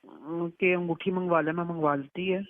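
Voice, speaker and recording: A woman's voice: lowish voice, fairly even intonation, normal speed, no echo. A woman with a Pakistani Punjabi accent in a casual private phone conversation, recounting what was said by quoting it. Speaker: daughter on a phone call. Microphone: telephone. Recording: narrow, muffled leaked phone line.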